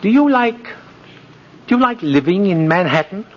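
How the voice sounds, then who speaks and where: An actor playing a kindly, twinkling old gentleman who believes he is Santa, in a 1950s radio drama. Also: sits high, animated, moderate pace. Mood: gently coaxing. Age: older. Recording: dull, noisy vintage radio recording.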